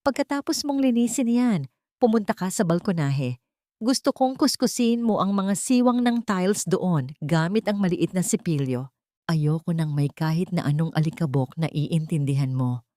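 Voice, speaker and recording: A woman's voice: on the low side, expressive, normal speed, warm tone, no echo, studio quality, close to an everyday voice. Woman acting a haughty young rich bride-to-be. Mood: scornful, bossy. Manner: scornful, commanding.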